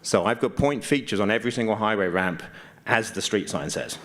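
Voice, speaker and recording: A man's voice: on the low side, brisk, a bit bright. A man giving an informal conference presentation, explaining things conversationally with dry, understated humor. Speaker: conference speaker, GIS mapping consultant.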